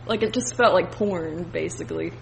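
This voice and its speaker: A woman with a fairly low voice and plenty of vocal fry chats casually as a film podcast co-host. Her phrasing is loose and informal. There is noticeable noise behind her. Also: moderate pace, poor audio. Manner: informal, hedging, frequent "like". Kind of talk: casual film review chat.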